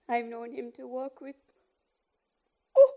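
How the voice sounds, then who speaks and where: A woman acting a gentle, grieving mother in a radio play, halting and tender as she puts on a brave face. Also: on the high side, creaky, muffled recording, exaggerated voice.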